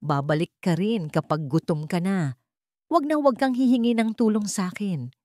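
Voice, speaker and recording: A woman's voice: mid-range voice, moderate pace, darkish tone, no echo, studio quality, natural voice. A Filipino woman voicing an arrogant, bullying husband in an audio drama story, with a scornful, blustering manner. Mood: bitter, shouting.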